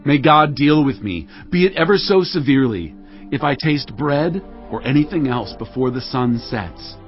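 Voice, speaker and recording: A man's voice: mid-range voice, lively intonation, moderate pace, natural voice. An actor playing a solemn, righteous king who makes stern, weighty proclamations in a dramatized audio Bible. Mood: solemn, resolute. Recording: slightly dull sound, music underneath. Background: background music.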